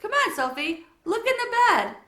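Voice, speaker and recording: Woman reading as a bright, coaxing storybook mother. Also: extremely high pitch, big pitch swings, normal speed, reverberant, voice pushed up, exaggerated voice. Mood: reassuring. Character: caring mother soothing her upset young daughter.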